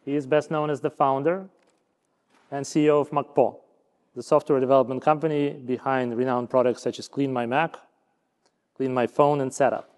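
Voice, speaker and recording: A man's voice: medium pitch, level tone, moderate pace, a little mellow. Man moderating in a reverberant hall. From Ukraine, raised in Canada. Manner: smooth, prepared introductions. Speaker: panel moderator. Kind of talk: stage interview on a panel. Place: conference stage.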